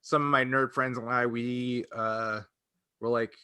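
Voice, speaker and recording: A man's voice: medium pitch, flat delivery, a little slow, sharp tone, smooth, no room sound, clean studio sound. A man chatting casually as a podcast co-host, reminiscing in a rambling, hesitant way that often doubles back on itself. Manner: rambling, hesitant, self-interrupting. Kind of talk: casual chat, personal reminiscing.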